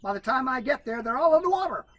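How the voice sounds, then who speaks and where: A man's voice: extremely high pitch, expressive, quick pace, a bit bright, voice pushed up, exaggerated voice. A man voicing a down-on-his-luck male raccoon in a folk tale, with a drawling, complaining manner. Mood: glum.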